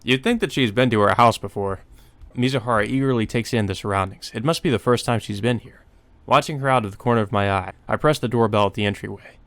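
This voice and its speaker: A man's voice: fairly low pitch, fast talker, fairly bright tone, no echo. A man reading a visual novel aloud as narration for a playthrough, sometimes stumbling and rereading a line. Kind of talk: narration read aloud from a game. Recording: music behind the voice. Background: game music.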